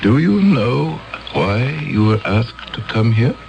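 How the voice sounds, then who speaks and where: Radio drama: a grave alien elder, slow and lively in pitch. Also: male voice, vocal fry, dull audio, full character voice. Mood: solemn. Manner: solemn, measured. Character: grave male elder of a peaceful alien world.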